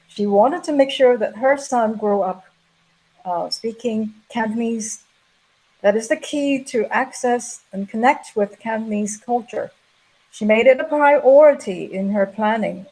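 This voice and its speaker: A middle-aged woman giving a measured, explanatory plenary lecture at an academic conference. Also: fairly high pitch, warm tone, poor audio. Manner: measured, explanatory, reading prepared remarks. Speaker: plenary speaker.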